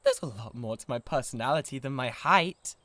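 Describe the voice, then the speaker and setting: British man as lovesick, jealous giant: wildly swinging pitch, easily exasperated, intimate dry ASMR recording. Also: lively intonation, a little fast, sharp tone, no echo. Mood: adoring, boastful. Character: huge, jealous, lovesick, violently possessive male giant. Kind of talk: comedic ASMR roleplay acting.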